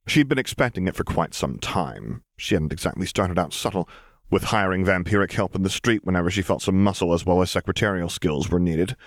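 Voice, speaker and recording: A man's voice: low voice, animated, a little fast, dry recording, studio quality. A man reading a scripted story aloud as an audiobook narrator.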